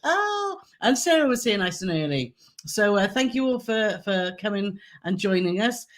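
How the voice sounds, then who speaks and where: A woman with a hoarse, croaky throat co-hosting a casual live Q&A, chatty and giggly as she reads out viewer comments. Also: medium pitch, sing-song, moderate pace. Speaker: co-host of a travel channel live stream.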